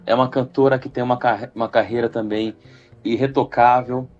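A man, a double bassist, talking as a radio guest in a rambling, enthusiastic way with many fillers. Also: fairly even intonation, brisk, darkish tone, highs cut off, soft background music. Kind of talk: radio interview.

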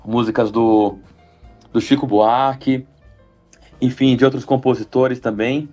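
Rambling musician guest, dull lo-fi sound. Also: male voice, medium pitch, fairly even intonation, unhurried, dark tone, faint music behind. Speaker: double bassist, guest. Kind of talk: radio interview.

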